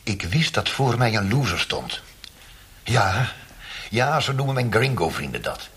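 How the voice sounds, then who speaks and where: An actor playing a menacing, mocking interrogator of a brutal regime in a radio play, sneering and theatrical, swinging from quiet threat to ranting. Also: male voice, lowish voice, big pitch swings, measured pace. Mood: sarcastic, scornful. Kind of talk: radio drama acting.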